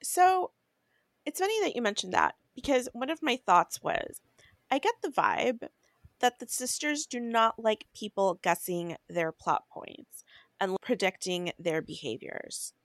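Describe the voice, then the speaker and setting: Female podcast co-host, mid pitch, dry sound. Casual book chat, sincere, explains herself thoroughly. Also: big pitch swings, measured pace, no echo. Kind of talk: casual chat about books.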